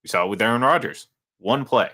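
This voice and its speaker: A man's voice: mid-range voice, quick pace, bright, forceful tone. A man in his thirties co-hosting a casual sports talk show, rambling with many restarts and fillers. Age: 30s. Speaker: sports radio co-host.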